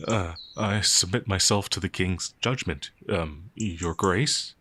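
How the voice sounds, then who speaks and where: A man playing a gentle, anxious werewolf outlaw in a fantasy ASMR roleplay, hesitant, stammering and apologetic. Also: low voice, takes it slowly, no echo, heavily acted. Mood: flustered, submissive. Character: gentle, timid, anxious male werewolf outlaw, easily frightened.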